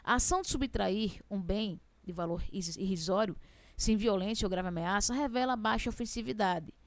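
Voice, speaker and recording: Fast-talking Brazilian woman law teacher. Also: mid-range voice, no echo. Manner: chatty, asks herself questions; fast-talking with a strong regional accent. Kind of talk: exam prep lesson.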